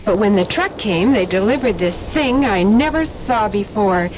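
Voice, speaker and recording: A woman's voice: medium pitch, animated, moderate pace, noisy background, lightly acted. An actress playing a flustered female shopper in an old radio ad, dismayed and breathless. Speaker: actor in radio ad. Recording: distant dull old radio recording with music.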